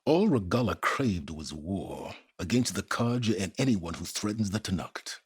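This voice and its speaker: Casting: calculating older male scholar for a game; very raspy, low, pompous, condescending, expressive delivery. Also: no room sound, studio quality, heavily acted. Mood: measured, superior. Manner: pompous, condescending, deliberate, formal wording. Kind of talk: video game dramatic acting.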